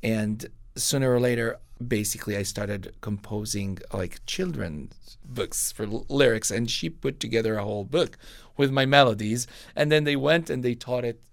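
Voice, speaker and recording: A middle-aged man from Israel, a composer being interviewed, telling stories in a relaxed, rambling way with lots of fillers and self-corrections. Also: mid-range voice, moderate pace, creaky, dry recording, clean studio sound. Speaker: composer guest.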